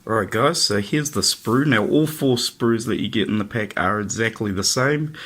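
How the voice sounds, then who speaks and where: A man's voice: fairly low pitch, moderate pace, fairly bright tone. A man with a head cold, chatting easygoing through a hobby product review with many ums. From New Zealand.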